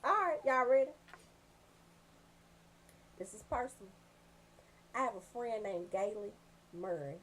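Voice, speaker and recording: A woman's voice: mid-range voice, animated, a little slow, pauses often, fairly bright tone, a little hiss or hum. A woman telling comic stories as a radio show host, brash and freely swearing.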